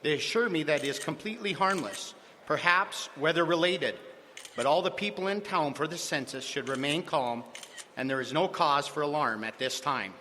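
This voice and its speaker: An actor playing a pompous, evasive Roman governor giving curt official statements in a live radio drama. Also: male voice, a little high, normal speed, bright, forceful tone. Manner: stiff, dismissive official statements. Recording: live stage recording in a hall with faint hiss.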